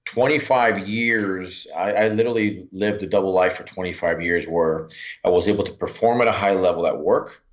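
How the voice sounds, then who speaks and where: A man in his forties from Miami, telling his story earnestly in an interview, often restarting his sentences. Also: low-pitched. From Miami, USA. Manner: earnest, halting, many restarts. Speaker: podcast guest. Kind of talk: reflective interview talk. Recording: dull lo-fi sound.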